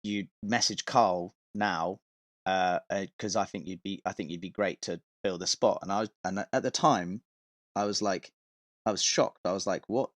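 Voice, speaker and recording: A man with a fairly low-pitched voice talks as a guest on a podcast. He tells stories in a loose, rambling, informal style with some swearing. The sound is dry, with very little room on it. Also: steady tone, moderate pace, dry recording. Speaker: podcast guest, touring metal vocalist and tour manager. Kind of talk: casual podcast chat, telling stories from touring.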